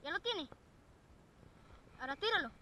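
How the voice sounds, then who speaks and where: A woman filming herself fishing from an outdoor boardwalk on a head-mounted camera, chatting excitedly and shouting at each catch. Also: very high voice, moderate pace, a little hiss or hum, poor audio. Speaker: angler filming her catch. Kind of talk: excited casual chat while fishing. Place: outdoor wooden boardwalk by a lake. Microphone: head-mounted action camera.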